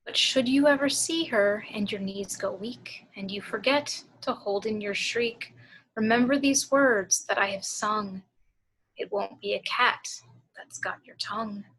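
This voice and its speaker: A woman voicing a possessed, motherly woman with slow, deliberate, soothing menace in an amateur table read. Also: mid-range voice, fairly bright tone, slight background noise, lightly acted, low-quality recording. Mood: sinister, storytelling. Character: sinister motherly woman possessed by a vengeful ghost.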